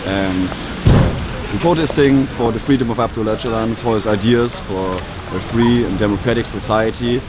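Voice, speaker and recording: A man's voice: lowish voice, normal speed. A young German man with a German accent, earnestly explaining why he joined a protest march. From northern Germany. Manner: earnest, explanatory, loose with fillers. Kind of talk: street interview. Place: outdoors on a city street. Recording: loud street noise behind.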